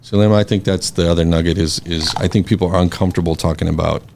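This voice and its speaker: A man's voice: low-pitched, steady tone, fast, creaky, darkish tone, a little hiss or hum. A man speaking as a podcast guest, talking things through in a reflective, easygoing way. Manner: reflective, many fillers and restarts. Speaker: guest, scholarship foundation board president. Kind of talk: casual podcast conversation.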